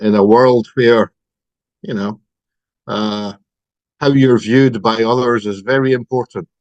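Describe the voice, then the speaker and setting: Middle-aged Scottish man, low and dry, slow reflective storyteller. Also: a little mellow, dry recording, lo-fi sound. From Scotland. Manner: reflective, halting, many ums. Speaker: podcast guest. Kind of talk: personal recovery story.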